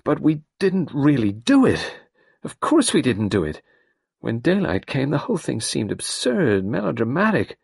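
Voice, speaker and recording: A man's voice: medium pitch, wildly swooping pitch, normal speed, gravelly, breathy edge, no room sound, clean studio sound, pitched above the performer's own voice, heavily acted. A man reading an audiobook, voicing a distraught young woman who pleads and falters. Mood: pleading.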